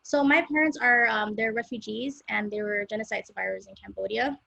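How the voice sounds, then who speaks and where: A woman's voice: fairly high pitch, a little fast, gravelly, bright, forceful tone, no room sound, dull audio. A young woman from Los Angeles, a singer-songwriter, talking casually as an interview guest and rambling with many fillers. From South Central Los Angeles, USA.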